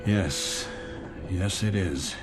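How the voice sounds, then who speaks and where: A man's voice: sits low, very slow, over music. An actor playing a weary, troubled businessman commuter in a radio drama, with a dry and hesitant manner. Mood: polite, wry.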